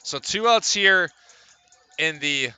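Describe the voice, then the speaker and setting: Bright, forceful male baseball announcer. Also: fairly high pitch, animated, slow pace, smooth. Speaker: play-by-play announcer. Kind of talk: live radio baseball commentary.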